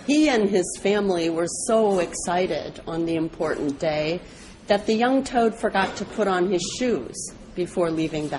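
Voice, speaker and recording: A woman's voice: on the low side, noisy background. A woman reading scripted animal fables aloud as a storyteller at a public program.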